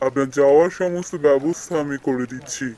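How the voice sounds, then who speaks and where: A man's voice: mid-range voice, brisk, mellow, close to an everyday voice. A man voicing a formal, businesslike office boss with an authoritative manner in a cartoon story. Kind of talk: animated cartoon story acting.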